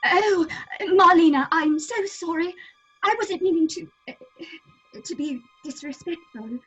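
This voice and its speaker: A woman's voice: very high-pitched, unhurried, a bit bright, faint music behind. A woman acting as a timid, apologetic young actress in a horror audio drama, meek and faltering, in slightly muffled sound. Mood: fearful.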